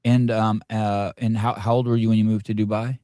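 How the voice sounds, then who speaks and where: A man's voice: on the low side, flat delivery, fairly quick, mellow, no echo, studio quality. A middle-aged man hosting a live interview, rambling and self-deprecating with many restarts. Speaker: podcast host.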